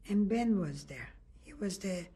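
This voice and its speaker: An older woman from Lithuania giving an oral history testimony in a halting way, often restarting and searching for words. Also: mid-range voice, expressive, warm tone, slightly rough. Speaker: interviewee.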